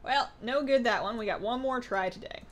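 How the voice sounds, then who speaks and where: A woman's voice: fairly high pitch, fairly quick. Chatty woman streamer, tired voice. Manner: chatty, reads viewer questions aloud, frequent "like" and "um". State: voice a little tired after two hours of talking. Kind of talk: casual live-stream chat while gaming.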